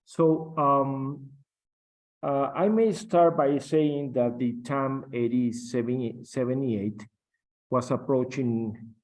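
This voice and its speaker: A middle-aged man whose first language is Spanish speaks slowly and haltingly, with many fillers and restarts. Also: mid-range voice, rough recording. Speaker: guest captain. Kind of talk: interview on aviation.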